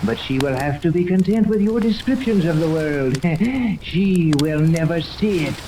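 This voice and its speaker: Gloating mad scientist on old radio. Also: male voice, fairly high pitch, lively intonation. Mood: menacing, boastful. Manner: slow, gloating, formal speech with sinister chuckles. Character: boastful mad scientist villain ruling a secret island. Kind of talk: 1930s radio serial acting. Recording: noisy 1930s radio recording with rain-like hiss.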